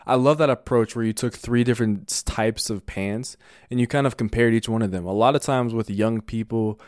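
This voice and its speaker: A man's voice: mid-range voice, fairly quick, a little mellow, no echo, studio quality. A man hosting a casual interview, upbeat and encouraging. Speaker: host.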